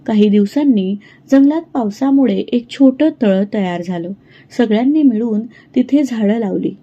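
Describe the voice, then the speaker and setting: A woman narrating a children's cartoon moral story in a slow, gentle, clear way. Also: on the high side, muffled recording.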